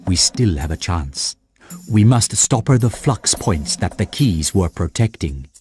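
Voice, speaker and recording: An actor playing a wise old warrior mentor in a cartoon game, speaking in a solemn, formal, measured way. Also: male voice, sits low, animated, darkish tone, soft background music. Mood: grave, resolute. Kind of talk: animated cartoon game cutscene acting.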